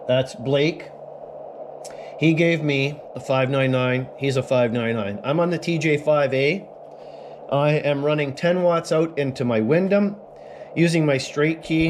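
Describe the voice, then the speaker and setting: A man from Canada casually explaining a ham radio Morse contact, relaxed and full of fillers, recorded on an action camera at home. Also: on the high side. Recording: noisy camera audio with Morse tones.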